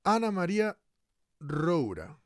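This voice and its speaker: A man's voice: high-pitched, sing-song, slow pace, no room sound, clean studio sound, heavily acted. An actor playing a male radio show host in a radio play, announcing segments in a presenter's manner. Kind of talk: radio drama acting.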